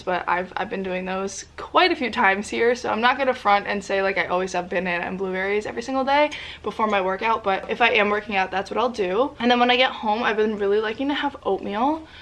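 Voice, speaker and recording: A woman's voice: medium pitch, a bit bright. A young woman chatting in a home vlog, sniffly and congested, rambling in a bubbly, casual way. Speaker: lifestyle vlogger. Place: indoors at family home.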